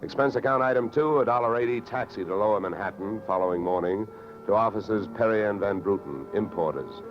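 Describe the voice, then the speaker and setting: An actor playing a wry, unflappable insurance investigator in a clipped, matter-of-fact 1940s radio detective style. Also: male voice, lowish voice, a little fast, close to an everyday voice. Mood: dry narration. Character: hard-boiled male freelance insurance investigator, wry, brisk, unflappable. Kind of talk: 1940s radio detective acting and first-person narration. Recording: dull vintage radio recording with music.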